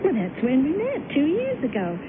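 A woman's voice: sits high, wildly swooping pitch, moderate pace, background noise. An actress playing a playful, insistent young American woman in a radio drama, coy and emotive. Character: playful, teasing, insistent young woman claiming old acquaintance. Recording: tinny old radio broadcast with loud music.

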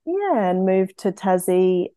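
An Australian woman from Queensland, a vet, answering interview questions with many pauses and ums. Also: mid-range voice, very animated, no room sound, clean studio sound. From Brisbane, Queensland. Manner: hesitant, many ums, laughs softly. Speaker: guest, veterinarian and runner. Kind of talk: casual interview chat.

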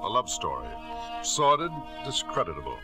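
An actor playing a wealthy, controlling middle-aged husband with a suave, clipped manner in a 1950s radio drama. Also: male voice, low-pitched, huge pitch swings, moderate pace, over music, full character voice. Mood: confiding, rueful. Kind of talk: 1950s radio drama acting with first-person narration. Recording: vintage 1950s radio broadcast.

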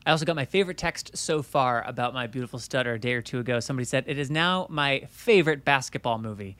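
A man's voice: medium pitch, fast talker, fairly bright tone, no room sound. A man hosting a podcast, joking lightly and thanking guests as he wraps up the episode. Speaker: podcast host. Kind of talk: casual podcast talk.

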